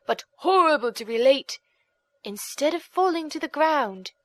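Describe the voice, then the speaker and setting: A woman reading a folk tale as an audiobook narrator, in a measured, expressive, dramatic storytelling manner. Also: on the high side, dry recording.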